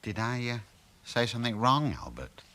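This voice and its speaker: An actor playing a meek but sly middle-aged British husband and accomplice, hesitant and deferential, in a 1970s radio drama. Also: male voice, mid-range voice, sing-song, normal speed, sharp tone. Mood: falsely apologetic. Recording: echoey 1970s radio play.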